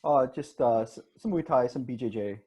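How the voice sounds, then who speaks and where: A man's voice: medium pitch, normal speed, mellow, slightly rough. Easygoing Canadian man in his forties. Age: 40s. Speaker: guest. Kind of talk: casual group chat.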